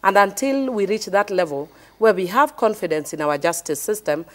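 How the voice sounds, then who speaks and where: A woman's voice: medium pitch, lively intonation, normal speed, a bit bright. A Kenyan woman on a televised panel, arguing her point with passion and repeating it insistently. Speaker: member of parliament, panel guest. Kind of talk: heated panel debate.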